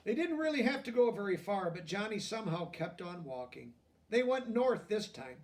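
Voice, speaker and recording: A man reading a children's picture book aloud, deliberately, with simple explanatory asides in plain words. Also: sits high, big pitch swings, far from the mic. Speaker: storybook reader.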